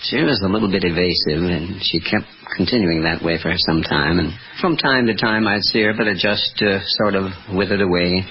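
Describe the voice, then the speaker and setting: A man in his late forties from Pennsylvania, answering in a hesitant, mild, unhurried way as a radio quiz contestant. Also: sits low. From Pennsylvania, United States. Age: late 40s. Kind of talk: 1940s radio interview. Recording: muffled 1940s radio recording, faint noise.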